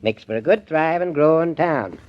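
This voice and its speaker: A man's voice: on the high side, animated, normal speed, natural voice. An actor playing a shrewd, folksy small-town selectman with a drawling rural way of talking, in a 1940s radio play. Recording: muffled vintage radio recording.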